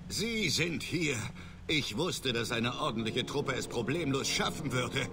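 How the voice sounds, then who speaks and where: An actor playing a disgraced middle-aged starship captain and father, evasive and self-justifying, speaking in a formal, measured way in a video game. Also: mid-range voice, lively intonation, background noise, lightly acted. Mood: haughty relief. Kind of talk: video game voice acting, German dub. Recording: loud music under the voice.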